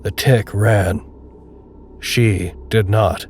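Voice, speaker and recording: A man narrating a dark audio drama in a measured, literary, grave and detached manner. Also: fairly low pitch, steady tone, slight background noise. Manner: measured, literary, solemn storytelling.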